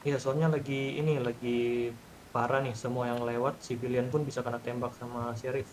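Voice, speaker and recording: A man's voice: mid-range voice, flat delivery, normal speed. Caring man on faintly noisy voice chat. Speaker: roleplay gamer. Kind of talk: casual in-character roleplay chat.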